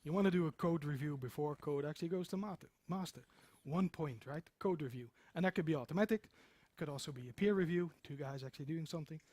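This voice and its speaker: A man's voice: on the high side, fast talker, few pauses, a little mellow, no echo. A middle-aged man giving an informal conference talk, emphatic and repeating phrases for stress. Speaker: conference speaker, software developer. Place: meeting room with seated audience, indoors.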